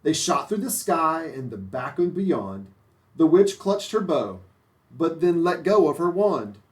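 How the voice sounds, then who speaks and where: A storybook reader giving an expressive children's read-aloud narration. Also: medium pitch, a little slow, distant mic.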